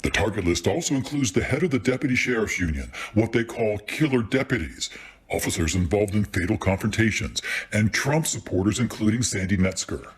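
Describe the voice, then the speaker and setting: A man's voice: fairly low pitch, lively intonation, a little fast, slightly rough. A man narrating a scripted television news report as a reporter.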